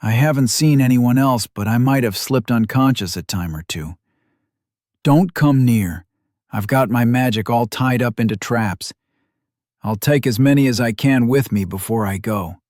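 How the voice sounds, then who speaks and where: Guy narrator doing a beat-up one-legged fighter, 40s, gruff and stoic, talks through his teeth. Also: mid-range voice, moderate pace, darkish tone, no echo, studio quality, close to an everyday voice. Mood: grim warning. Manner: terse, gritted-teeth warnings. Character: badly wounded one-legged male warrior in his forties, gruff and stoic. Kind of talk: audiobook character voice.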